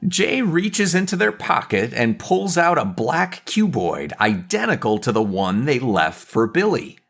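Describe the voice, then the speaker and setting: A man's voice: mid-range voice, very animated, unhurried, creaky, a bit bright, full character voice. A man narrating a superhero audio drama in an earnest, dramatic scripted storytelling style, with background noise. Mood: descriptive.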